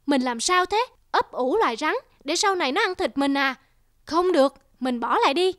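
A woman's voice: sits high, animated, normal speed, a bit bright, no room sound, clean studio sound. A woman voicing a stern rooster husband in a cartoon, scolding and emphatic. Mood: exasperated. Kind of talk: Vietnamese cartoon dubbing. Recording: music behind the voice.

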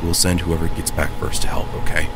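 A man voicing an injured but caring mutant turtle brother in a fan comic dub, halting and soothing. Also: deep voice, completely flat delivery, heavy background noise, lightly acted. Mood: soothing, strained.

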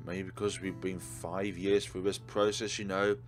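A man's voice: sits low, level tone, moderate pace. A man hosting a let's play, reading game text aloud and commenting on it. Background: game music.

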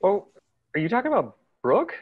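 A man's voice: sits high, wildly swooping pitch, brisk, breathy edge, full character voice. Man reading sheepish hapless guy, dull lo-fi sound. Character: hapless, apologetic young man. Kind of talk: table read.

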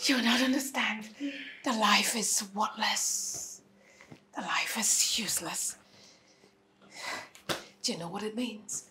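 An actress playing a distraught mother who swings between tearful whispers and anguished shouting. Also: high-pitched, very animated, moderate pace, creaky, hardly any pauses, slight background noise, heavily acted. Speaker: mother whose daughter was taken. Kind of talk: film drama acting.

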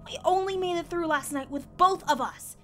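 A woman's voice: extremely high pitch, moderate pace, soft background music. A woman voicing a tough, protective, weary young female cartoon pony with a brash, blunt manner in a horror story. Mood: urgent, insistent.

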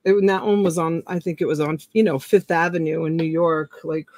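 A woman's voice: deep voice, fairly even intonation, brisk, a little mellow. A woman in her forties hosting a casual live-stream auction from her home, talking in a chatty, folksy way and drawing out the calls for bids. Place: home room full of plush toys and a dress form. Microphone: webcam on a computer.

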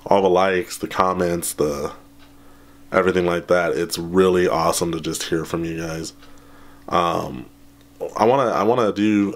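A man in his thirties, slightly hoarse after being sick, chatting casually and rambling with lots of ums and laughs, holding a camera at arm's length in a small room. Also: low voice. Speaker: channel host. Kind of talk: casual update vlog. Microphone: handheld camera at arm's length.

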